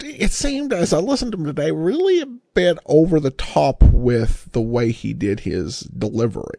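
A man hosting a solo podcast, giving informal commentary in a self-deprecating, hesitant way with frequent pauses. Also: mid-range voice, vocal fry, dark tone, no echo, studio quality. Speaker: podcast host.

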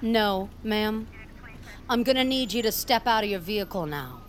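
A woman's voice: mid-range voice, expressive, normal speed, natural voice. Actress as firm, commanding female officer. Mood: stern. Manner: formal, commanding. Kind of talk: audio drama acting.

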